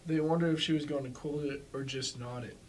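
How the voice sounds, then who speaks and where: A man's voice: fairly high pitch, steady tone, a little fast, natural voice. Man reading as a dismissive sheriff, slightly distant. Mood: dismissive, casual. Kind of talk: amateur staged play reading. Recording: distant mic with faint background noise.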